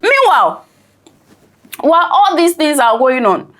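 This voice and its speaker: A woman walks viewers through a news story in a chatty, conversational way. Her voice sits a little high, and she keeps checking in with "right?" as she explains. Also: sing-song, measured pace, fairly bright tone. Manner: conversational, often asks "right?". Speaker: news commentator.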